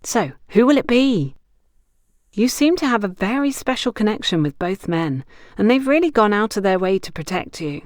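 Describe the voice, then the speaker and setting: A woman's voice: medium pitch, sing-song, normal speed, no echo, clean studio sound, lightly acted. A woman narrator voicing a frank, teasing female confidante who gives knowing advice in an audiobook. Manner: playful, knowing, instructive. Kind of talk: audiobook character dialogue.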